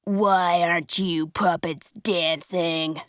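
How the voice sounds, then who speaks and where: A woman voicing a nasty, gruff male puppet master in a storybook reading, speaking slowly and menacingly. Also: lowish voice, fairly even intonation, no echo, clean studio sound, voice pushed down.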